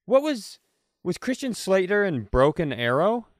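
A man co-hosting a comedy podcast, rambling deadpan through offbeat questions in casual chat. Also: high voice, unhurried, no echo, studio quality. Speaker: podcast co-host.

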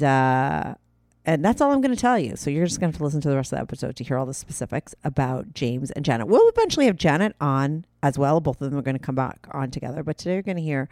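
Brief: New Jersey woman, about fifty, podcast host; gravelly, chatty, swears a lot, dry close mic. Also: low-pitched, a little mellow, no echo. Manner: chatty, swears freely, laughs at herself. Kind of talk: casual rambling podcast intro.

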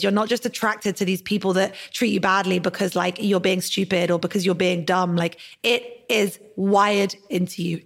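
Host: woman, casual podcast talk, gushing and sweary, even middle pitch. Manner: effusive, sweary, repeats "like" often.

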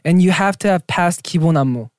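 A woman in her thirties hosts a relaxed conversation, talking in a lively, laughing way. Her voice sits low yet moves widely in pitch. The sound is close and dry. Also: fairly quick, no echo. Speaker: podcast host. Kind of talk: casual chat.

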